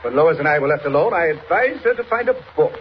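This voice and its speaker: Man acting fussy, high-pitched old radio scientist. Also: animated, fast talker, natural voice. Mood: hesitant, explaining. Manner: fussy, hesitant, genteel, easily affronted. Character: absent-minded, evasive, fussy male scientist, meteorologist. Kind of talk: 1940s radio drama acting. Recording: muffled, noisy vintage radio recording.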